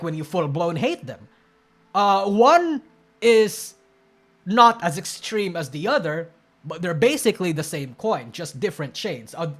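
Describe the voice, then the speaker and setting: Rambling, swearing live-stream reactor. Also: male voice, a little high, fairly bright tone. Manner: rambling, blunt, swears, restarts and trails off. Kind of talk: casual live-stream commentary.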